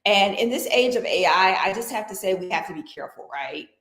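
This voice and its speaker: Animated woman guest in an echoey room. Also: medium pitch, brisk. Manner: animated, persuasive, conversational. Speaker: guest executive. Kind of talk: conversational interview.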